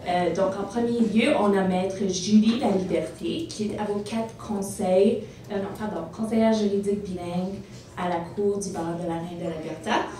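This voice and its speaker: A woman's voice: mid-range voice, steady tone, warm tone. Woman moderator introducing panel, echoey hall. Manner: brisk, with fillers and a self-correction. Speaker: conference moderator. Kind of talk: introducing speakers. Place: large banquet hall. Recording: echoey hall, distant mic, background noise.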